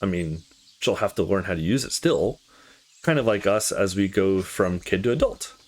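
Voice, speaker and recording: A man reading visual novel dialogue aloud in a casual, expressive way, as a let's play host. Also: low-pitched, normal speed.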